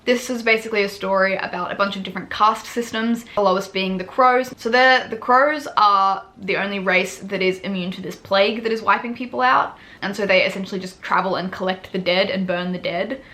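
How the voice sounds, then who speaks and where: A woman's voice: medium pitch, steady tone, a little fast, few pauses, sharp tone. A woman, chatting casually and self-deprecatingly about the books she read this month from a small home study. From Australia. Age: 20s. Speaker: book vlogger. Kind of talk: casual monthly reading wrap-up.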